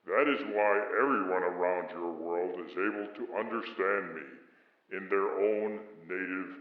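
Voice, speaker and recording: A towering alien envoy, played by a man, speaks in a low, very unhurried voice with formal, solemn calm. The sound is echoey and narrow, as in a radio drama from another world. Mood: measured, explanatory. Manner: measured, solemn, formal phrasing. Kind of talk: radio play acting. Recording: echoey, band-limited processed sound.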